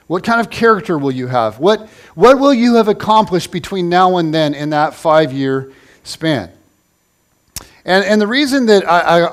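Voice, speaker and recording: A man in his forties giving a casual sermon as a pastor in a church, joking with the congregation and restarting his sentences often. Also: a little high. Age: 40s. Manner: conversational and joking, with many restarts.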